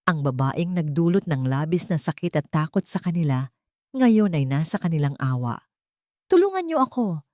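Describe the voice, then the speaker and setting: A woman's voice: low-pitched, normal speed, mellow, no room sound, clean studio sound. A woman narrator reading a scripted story in a dramatic style.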